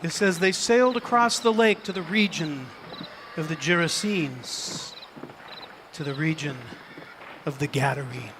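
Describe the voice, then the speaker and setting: A male preacher giving a theatrical, suspenseful dramatic reading as sermon storytelling, with deliberate pauses. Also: on the high side, noisy background. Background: music and sound effects.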